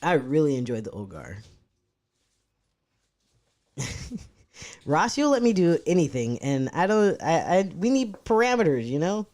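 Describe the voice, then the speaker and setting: A man's voice: sing-song, unhurried, long pauses, darkish tone. A young man talking casually to his live-stream chat, rambling and cursing freely as he fiddles with his setup. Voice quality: medium-pitched voice, neither deep nor high.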